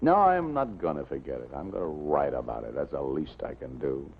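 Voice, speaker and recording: An actor playing an intense, haunted newspaper columnist with an American accent, urgent and emphatic, in a 1950s television drama. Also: male voice, a bass voice, big pitch swings, a little fast, vocal fry, husky, full character voice. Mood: shaken, resolute. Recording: dull, muffled 1950s television soundtrack.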